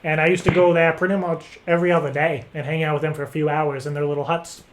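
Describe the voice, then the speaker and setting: A man making a prank phone call, rambling in an earnest act with hesitant, halting phrasing. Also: on the high side, little pitch movement, slight background noise. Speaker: prank caller. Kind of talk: improvised phone prank chat.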